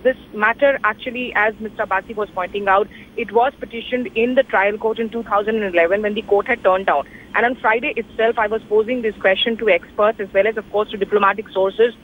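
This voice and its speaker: A woman with an Indian accent giving a live, wordy and explanatory news analysis as a correspondent. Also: fairly high pitch. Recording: tinny phone line with faint noise.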